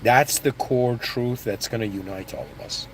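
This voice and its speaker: A man's voice: medium pitch, moderate pace, a little hiss or hum, poor audio. A man born in Iran and raised in Canada, giving a casual, rambling motivational talk full of restarts and asides. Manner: rambling, with restarts and "you know what I mean". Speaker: motivational speaker.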